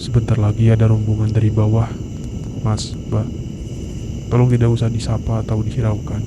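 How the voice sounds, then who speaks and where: A man playing a friendly, calm young student mountain guide in an animated horror story, speaking reassuringly. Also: fairly low pitch, a little fast, heavy background noise, close to an everyday voice. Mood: casual, advising. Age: young adult. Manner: reassuring, advising. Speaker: volunteer mountain guide character.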